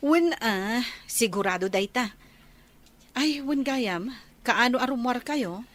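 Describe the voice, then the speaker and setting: A woman's voice: on the high side, animated, slow pace. An actress playing a cheerful, helpful female relative, with an Ilocano Filipino accent in radio drama style. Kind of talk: radio comedy-drama acting.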